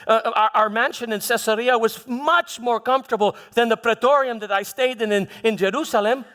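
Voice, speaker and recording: Guy doing a troubled roman governor monologue, pretty high male voice, halting w/ repeated words, big echoey church hall. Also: sharp tone, smooth. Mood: exasperated. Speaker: church dramatist performing a monologue. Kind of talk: first-person dramatic monologue in church. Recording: echoey hall with long reverb.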